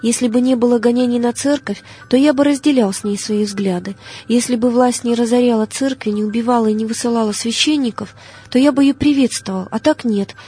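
A woman's voice: on the high side, fairly quick, dark tone, slightly rough. A woman narrator reading the words of a devout, defiant young male preacher in an audiobook, earnest and plain-spoken. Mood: firm, defiant.

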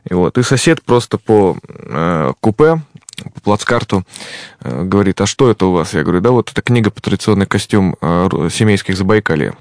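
A man, an ethnologist guest in an interview, explaining at a measured pace with frequent hesitation sounds. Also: low-pitched, lively intonation, darkish tone, no room sound.

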